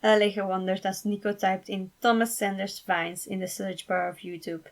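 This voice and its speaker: A woman narrating a fan fiction story in an expressive amateur reading style. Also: mid-range voice, moderate pace, gravelly.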